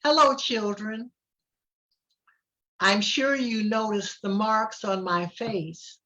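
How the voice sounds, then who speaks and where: Slow, gracious storybook grandmother read by a woman. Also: medium pitch, pushed and bright, highs cut off. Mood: proud, gentle. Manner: gracious, explaining to children. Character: proud, kindly Ghanaian grandmother visiting a classroom.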